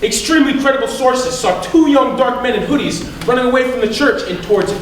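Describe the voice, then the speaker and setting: A young student actor playing a self-righteous, pompous and excitable reverend in a stage comedy. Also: male voice, very high voice, fairly quick. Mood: accusing. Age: teenager or young adult. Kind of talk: high school stage comedy acting. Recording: very echoey hall, distant, noisy.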